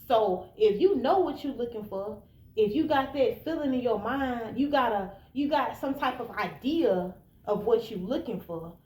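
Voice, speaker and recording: Echoey, higher-voiced American woman talking candidly. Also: lively intonation, normal speed, darkish tone. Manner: blunt, informal, emphatic, addressing listener directly. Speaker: vlogger giving relationship advice. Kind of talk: candid casual advice monologue. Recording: echoey room, faint background noise.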